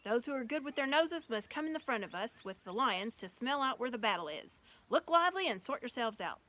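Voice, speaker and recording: Woman as a commanding, bright-voiced noble lion. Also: a little high, very animated, fairly quick. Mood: brisk, commanding. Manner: authoritative, orderly commands. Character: noble male lion leader, commanding and brisk.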